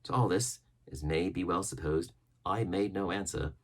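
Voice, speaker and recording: A man reading an adventure story as a narrator, dramatic and expressive, with deliberate phrasing. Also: sits low. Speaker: audiobook narrator.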